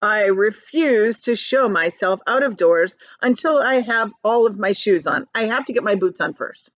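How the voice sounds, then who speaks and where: A woman's voice: medium pitch, animated, normal speed, fairly bright tone, no echo, muffled recording, close to an everyday voice. Woman putting on a bossy, swooping centipede. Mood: demanding. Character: loud, fussy, stubborn male centipede.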